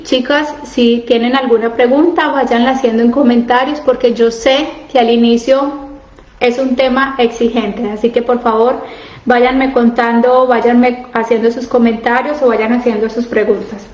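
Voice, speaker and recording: Woman coach, earnest, questioning, live-stream chat, roomy echo, slightly high voice. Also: a little mellow, muffled recording. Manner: earnest, asks the audience direct questions. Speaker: coach on emotional eating, live stream host. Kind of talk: casual live-stream talk to women. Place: home, living abroad.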